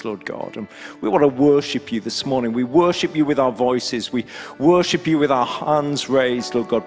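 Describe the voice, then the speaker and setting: A man, leading an offering and a reflective, earnest prayer into a handheld mic on a church stage. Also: medium pitch. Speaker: church leader. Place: large church hall stage. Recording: hall reverb with soft music.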